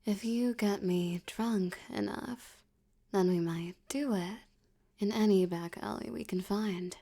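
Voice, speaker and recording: A woman playing a flirtatious, domineering mafia boss in an ASMR roleplay, teasing and condescending, with a breathy voice that slips toward whispers. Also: mid-range voice, dark tone. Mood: seductive, commanding. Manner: teasing, seductive, condescending, drawn-out delivery.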